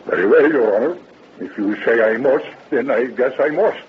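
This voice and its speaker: A man's voice: mid-range voice, expressive, moderate pace, close to an everyday voice. An actor playing a cautious, crotchety old handyman with folksy, plain-spoken talk in a 1930s radio drama. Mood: stubborn, fussy. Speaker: witness in court. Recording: muffled old radio recording, faint noise.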